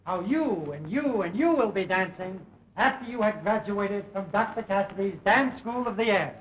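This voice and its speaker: A man's voice: sits high, expressive, normal speed, slight background noise, rough recording. An actor playing a brash young radio showman and dance teacher, talking in breezy salesman patter in an old film. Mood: salesy. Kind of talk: 1930s film acting. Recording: old film soundtrack with music.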